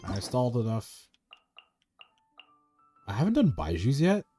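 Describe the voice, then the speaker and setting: A man's voice: medium pitch, huge pitch swings, unhurried, warm tone, soft background music. A man streaming a game with casual, slangy commentary and plenty of swearing. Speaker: gaming streamer.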